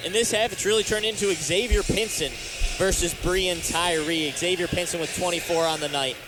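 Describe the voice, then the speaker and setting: A man's voice: high voice, fairly even intonation, normal speed, over music, low-quality recording. A young American man calling a game as a radio play-by-play announcer, live from an arena. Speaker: sports play-by-play announcer and reporter. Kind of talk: live radio sports commentary.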